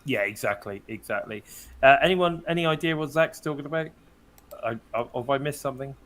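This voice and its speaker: Slightly croaky British man chatting. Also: medium pitch, brisk, fairly bright tone. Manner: chatty, leads the discussion and hands over to others. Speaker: podcast co-host. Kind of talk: casual wrestling podcast chat.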